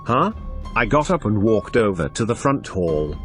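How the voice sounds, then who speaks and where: A man reading a scripted first-person horror story as an expressive narrator. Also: lowish voice, moderate pace. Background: background music.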